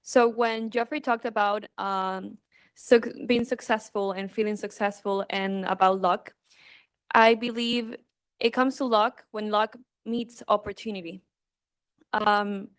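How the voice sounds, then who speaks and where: Clear-voiced woman. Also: medium pitch. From Guayaquil, Ecuador. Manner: hesitant, pausing mid-sentence. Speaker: panel speaker. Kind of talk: personal unscripted panel remarks.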